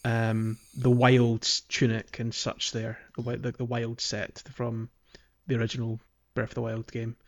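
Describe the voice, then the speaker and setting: A man co-hosting a podcast, chatting casually about a video game and filling pauses with ums. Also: medium pitch. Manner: relaxed, many ums, walks through game areas. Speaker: podcast co-host.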